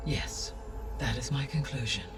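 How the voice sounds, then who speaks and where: A man plays a grave, courteous artificial intelligence in a video game cutscene. The speech is formal and precise, sounding a little away from the mic, with music clearly underneath. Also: mid-range voice, measured pace, far from the mic, close to an everyday voice. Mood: solemn, informative.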